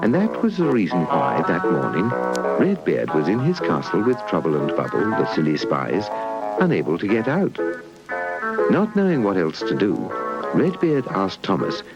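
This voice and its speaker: British male storyteller, lowish and very animated. Children's narration, muffled old tape. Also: moderate pace. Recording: muffled old VHS tape, music underneath.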